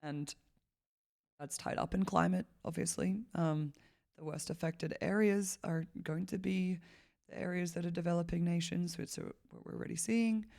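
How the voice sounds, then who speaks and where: A woman from Malawi talks as a podcast guest with a gravelly, fry-laden voice. She rambles casually, leaning on "like" as filler and laughing now and then. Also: on the low side, dark tone. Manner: rambling, heavy use of "like" fillers, laughs often. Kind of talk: casual conversational interview.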